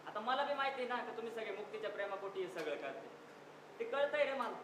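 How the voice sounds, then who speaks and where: A man's voice: very high voice, expressive, fast talker. A male actor plays a plain-spoken, consoling rustic brother in a stage drama. He, heard distantly with echo and noise. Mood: consoling, reflective. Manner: folksy, consoling. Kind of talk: stage play dialogue in Marathi. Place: indoor stage at a youth festival. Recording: echoey stage from far off, noisy.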